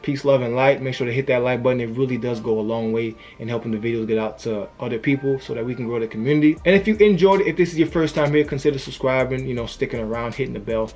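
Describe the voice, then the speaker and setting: A man's voice: mid-range voice, fast, few pauses, darkish tone. A young man giving an earnest, casual motivational talk to camera as a YouTuber. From family from Haiti, raised in the US. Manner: earnest, conversational, frequent "you know what I'm saying".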